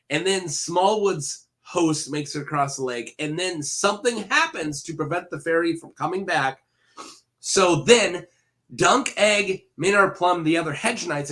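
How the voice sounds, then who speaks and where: A man with a head cold talks through his topic alone, tossing in plenty of fillers and restarts as he goes. His voice sits a touch high and has a bright, forward edge. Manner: rambling, self-correcting, filler-heavy. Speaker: video host. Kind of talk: casual solo commentary.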